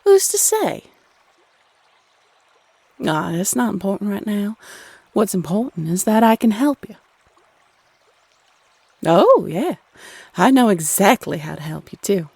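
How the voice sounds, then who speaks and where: A woman playing a flirty, playfully condescending female Cupid in an intimate roleplay audio, sultry and coy with drawn-out phrasing. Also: medium pitch, big pitch swings, gravelly, warm tone, slightly rough, dry recording, exaggerated voice. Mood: reassuring, flirty.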